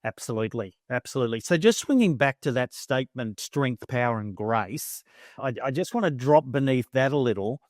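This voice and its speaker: A man's voice: medium pitch, animated, a little fast, darkish tone, no echo, studio quality. A man hosting a podcast interview, affirming his guest and asking probing questions. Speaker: podcast host. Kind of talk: interview conversation.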